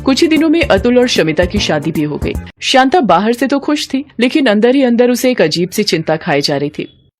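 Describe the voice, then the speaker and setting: A woman's voice: fairly high pitch, very animated, moderate pace. A woman narrating a scripted story as its storyteller.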